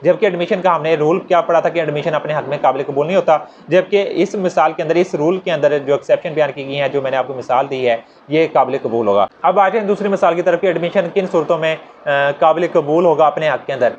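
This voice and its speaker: A man's voice: on the high side, brisk, few pauses. A man with a Pakistani accent giving an informal explanatory law lecture, conversational, repeating his points and walking through examples. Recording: dull lo-fi audio with noticeable noise.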